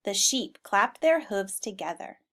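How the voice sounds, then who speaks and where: A woman reading a children's storybook aloud in an expressive style. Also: medium pitch, a little slow. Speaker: storybook reader.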